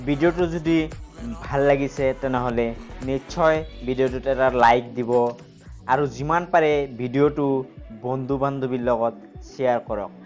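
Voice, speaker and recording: A man's voice: medium pitch, rapid-fire, darkish tone, faint music behind. A man, reading a scripted video channel outro to viewers.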